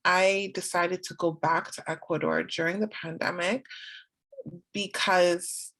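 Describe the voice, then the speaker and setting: A woman's voice: fairly low pitch, fairly even intonation, measured pace, a bit bright. A young woman, chatting as a guest in a casual interview, laughing often and acting out her own quotes. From Canada.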